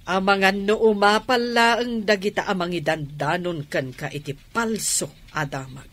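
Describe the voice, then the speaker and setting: An actress playing a trusting, worried wife in a radio drama, with an Ilocano accent. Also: female voice, medium pitch, steady tone, measured pace, a bit bright, natural voice. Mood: defensive. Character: trusting, worried wife, gently defending her man. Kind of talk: Filipino radio drama acting.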